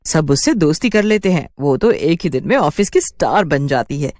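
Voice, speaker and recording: A woman's voice: low voice, expressive, moderate pace, darkish tone, dry recording. A woman telling a scripted cartoon story as its narrator.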